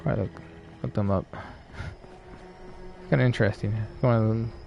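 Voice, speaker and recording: A man giving low-key, casual live commentary as he streams a game, musing to himself. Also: steady tone, normal speed, creaky, highs cut off, soft background music.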